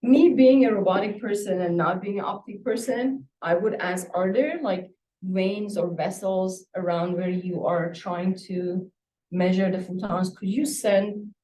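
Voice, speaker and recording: A woman's voice: mid-range voice, a little slow, dark tone, slight background noise. A woman instructor giving spoken feedback to a student, probing with follow-up questions. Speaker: university instructor reviewing a student project. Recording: echoey room, distant microphone.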